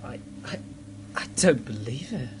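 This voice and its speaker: A performer playing a flustered, sceptical local helper in a sci-fi radio drama, hesitant and expressive. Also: mid-range voice, measured pace, very noisy. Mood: amazed. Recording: music under the voice.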